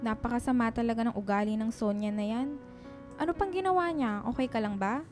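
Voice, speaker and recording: A woman's voice: a little high, brisk, a little mellow, soft background music, close to an everyday voice. A woman playing a kind, protective lady employer in a radio drama, chatty and concerned. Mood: concerned, indignant. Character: kind, protective middle-class employer, a single mother. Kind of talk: Filipino radio drama acting.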